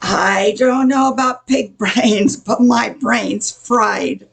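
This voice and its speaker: A sassy, weary diner waitress in a radio comedy played by an older woman. She has a gravelly, husky voice and talks slowly, with a folksy, curt manner. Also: fairly high pitch, full character voice. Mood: fed up. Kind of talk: comic old-time radio play acting.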